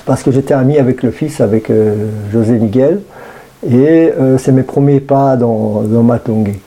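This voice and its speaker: A man's voice: mid-range voice, mellow. A man around sixty with a Brussels Belgian French accent, a radio guest talking casually with many hesitations and fillers.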